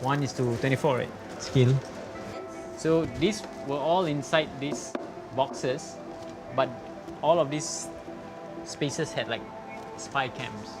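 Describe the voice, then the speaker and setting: A man, a graffiti artist, explaining his work in a relaxed way with fillers in a documentary interview. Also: medium pitch, takes it slowly, long pauses, over music.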